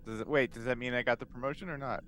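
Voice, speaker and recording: A man's voice: medium pitch, fairly even intonation, racing, a bit bright, a little hiss or hum. A man, playing a tabletop game and chatting casually, thinking aloud in a hesitant, joking way. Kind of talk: casual improvised game chat.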